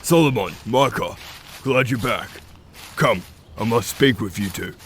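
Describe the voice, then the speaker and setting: Man acting troubled low-voiced pack leader. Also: a little slow, fairly bright tone, a little hiss or hum. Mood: resolute. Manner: formal, earnest, commanding speech. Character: troubled, determined male pack leader seeking healing. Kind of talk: fantasy audio drama acting.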